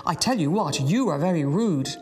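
A woman's voice: low voice, animated, moderate pace, a little mellow, soft background music. A woman reading aloud voices a proud young professor's daughter who answers with cool disdain. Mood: scornful. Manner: disdainful, scornful.

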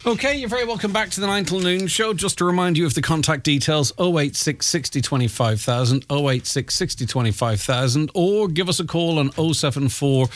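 A man's voice: fairly high pitch, a little fast, hardly any pauses, dry recording. Male radio host, conversational phone-in patter, lots of "uh"s, close dry studio mic. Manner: conversational, with frequent fillers and restarts. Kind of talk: radio phone-in talk, reading listener messages. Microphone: studio microphone.

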